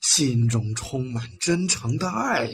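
An actor playing a blustering mentor who lectures young heroes with theatrical bossiness, in a comic audio drama. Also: male voice, mid-range voice, big pitch swings, slow pace. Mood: coaching. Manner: bossy, theatrical lecturing with sudden shouts. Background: background music.

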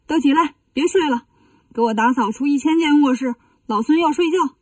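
A woman's voice: a little high, fairly quick, dark tone, breathy edge, no echo, distant mic. A woman voicing a brash, bossy monkey king with a blunt, cocky manner in a storybook tale. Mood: cocky, commanding.

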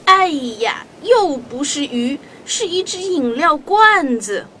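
A cheerful little girl in a children's radio play, with a high, swooping voice. She speaks slowly and expressively over clearly audible background noise. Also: very animated, full character voice. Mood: disappointed. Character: Anna, cheerful little girl fishing by the sea. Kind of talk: children's radio drama acting.

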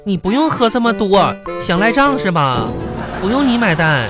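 A woman's voice: mid-range voice, sing-song, moderate pace, lightly acted. A woman playing a vain, brash, self-deluded young single woman in a radio comedy, with a Northeastern Chinese accent and melodramatic, blunt banter. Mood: boastful, flustered. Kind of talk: comedy radio drama dialogue. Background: music.

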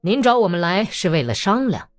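A woman voicing a defiant male Cossack commander in an audiobook, terse and stubborn, in a low, muffled voice, with music behind. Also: sing-song, brisk, lightly acted. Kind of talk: audiobook character acting.

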